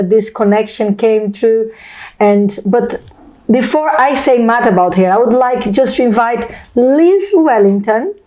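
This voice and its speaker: Female host, Brazilian accent, native Portuguese speaker, mellow tone, effusive conversational interviewing. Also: on the high side, rough recording. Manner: effusive, wordy, repeats phrases. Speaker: host of a video channel.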